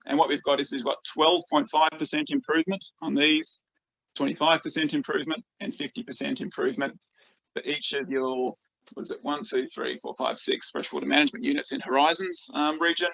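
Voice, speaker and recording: A man's voice: fairly high pitch, fairly even intonation, brisk, vocal fry, fairly bright tone, no room sound, muffled recording. A man with an Australian accent giving a conference talk as a researcher, enthusiastic and full of ums and repeated words. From Australia, rural northeast Victoria.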